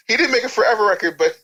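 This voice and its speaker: A man's voice: high-pitched, sharp tone, lo-fi sound. A man speaking as an interview guest, telling casual stories and giving blunt, joking opinions in slangy speech. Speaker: interview guest, music business and branding adviser. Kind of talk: animated casual storytelling and opinion.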